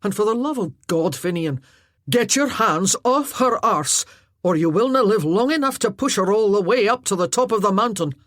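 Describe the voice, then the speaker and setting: A stern Highland laird who guards his betrothed, voiced by a woman reading aloud. He barks orders and threats in quick, loud bursts. The recording is dry. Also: very animated, dry recording. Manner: commanding, threatening. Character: stern male Highland laird, protective fiancé.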